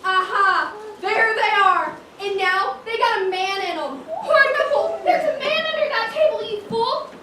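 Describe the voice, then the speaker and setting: A woman's voice: extremely high pitch, measured pace. A woman playing a brash, suspicious mature customer with blunt, folksy speech in an amateur stage comedy. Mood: suspicious, outraged. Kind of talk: amateur one-act stage comedy acting. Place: stage in a large echoey room. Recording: echoey stage, noisy, far-off mic.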